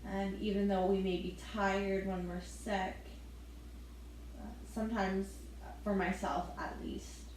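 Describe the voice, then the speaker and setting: A woman leading a gentle yoga class, giving soft step-by-step breathing cues with the odd light laugh. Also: medium pitch, little pitch movement, unhurried, heavy reverb, a little hiss or hum, low-quality recording. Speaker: yoga instructor.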